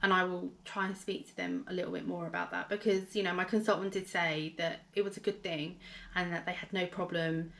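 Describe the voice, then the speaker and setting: A woman with a hoarse, gravelly voice telling a personal story in a casual vlog, rambling with many fillers and restarts. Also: fairly low pitch, little pitch movement, fairly quick, fairly bright tone. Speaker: vlogger.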